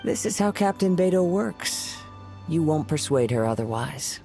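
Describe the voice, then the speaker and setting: A calm, reserved young samurai. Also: male voice, a little high, creaky, faint music behind. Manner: calm, measured, formal.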